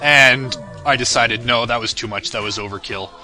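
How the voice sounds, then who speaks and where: Male creator for off-the-cuff commentary track: very bright, sharp voice, rambling and self-deprecating, music underneath. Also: mid-range voice, normal speed. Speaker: creator of an anime parody series giving commentary. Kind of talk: casual, unscripted director's commentary. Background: music and audio of the video being discussed.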